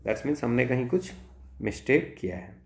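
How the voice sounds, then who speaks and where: A man's voice: mid-range voice, expressive, normal speed, vocal fry, echoey room. A man with an Indian accent working through exam problems as a tutor, thinking aloud step by step and often checking in with short tag words. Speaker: physics tutor. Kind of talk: exam problem-solving lesson, mixing Hindi and English.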